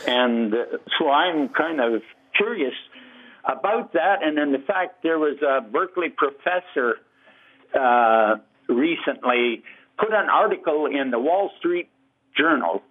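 A man's voice: on the high side, animated, slow. A Canadian man calling into a radio show, asking a long question in a rambling, hesitant way with many fillers. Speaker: caller. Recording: tinny phone line.

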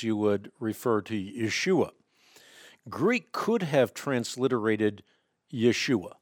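A man hosting a call-in radio show and answering callers' questions in fluent, emphatic explanations, whose first language is Dutch, often restarting with quick repeated words. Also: mid-range voice, a little mellow, no echo, studio quality. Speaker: radio talk show host.

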